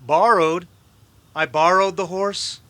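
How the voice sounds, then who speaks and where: A man's voice: high voice, steady tone, slow pace, fairly bright tone, dry recording. A man playing a stubborn, easygoing cowboy sidekick in a western radio drama, plain-spoken and a little defensive. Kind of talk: old-time western radio drama acting.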